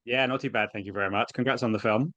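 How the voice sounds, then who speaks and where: A man's voice: medium pitch, steady tone, fast, a bit bright, dry recording, muffled recording. A man interviewing an actress, asking long rambling questions with many restarts. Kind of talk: press junket interview.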